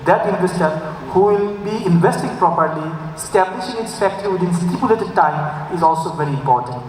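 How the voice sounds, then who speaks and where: A man with a Bangladeshi accent gives a conference presentation as an official, in a halting way with frequent fillers. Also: a little high. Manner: hesitant, halting, with frequent fillers and repeated phrases. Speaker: government official presenting at a summit. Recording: very echoey hall, distant mic, faint noise.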